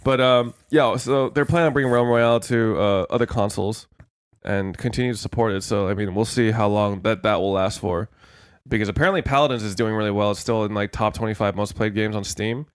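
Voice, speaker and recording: A man's voice: lowish voice, a little fast, clear, resonant tone, no echo, studio quality. A young man co-hosting a casual gaming podcast, sounding congested and rambling with fillers and swearing. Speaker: podcast co-host.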